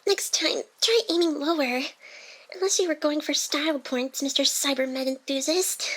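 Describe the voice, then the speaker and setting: Wounded, devoted AI hacker girl, played by a woman: high-pitched, breathy, teasing, halting, whisper-prone, close ASMR roleplay delivery. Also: fairly bright tone. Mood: weary, teasing. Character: playful, wounded young female AI hacker, secretly devoted. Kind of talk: intimate ASMR roleplay acting.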